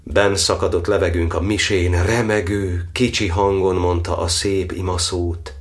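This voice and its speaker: Grave anguished cardinal, low voice, solemn verse monologue, roomy echo w music under it. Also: male voice, flat delivery. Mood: sorrowful, solemn. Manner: solemn, declamatory, verse cadence. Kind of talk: poetic dramatic monologue, radio play.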